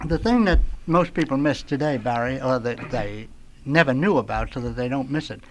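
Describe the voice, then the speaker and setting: A man's voice: mid-range voice, moderate pace, slight background noise, low-quality recording. Brief: mature male radio veteran, mid-range voice, nostalgic studio reminiscence, emphatic storyteller. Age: middle-aged or older. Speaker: veteran radio sound effects man, guest.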